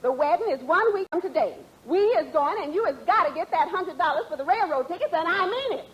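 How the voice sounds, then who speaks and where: An actress playing a bossy, exasperated wife in 1940s radio comedy, emphatic and folksy in ungrammatical dialect. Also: female voice, very high voice, animated, quick pace, highs cut off. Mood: firm, demanding. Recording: faintly noisy vintage radio recording.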